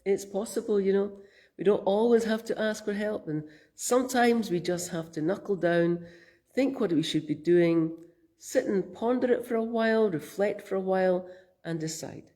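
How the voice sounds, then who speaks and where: A Scottish woman minister giving a gentle, thoughtful spoken reflection, her voice croaky from a cold. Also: mid-range voice, moderate pace. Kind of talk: reflective sermon.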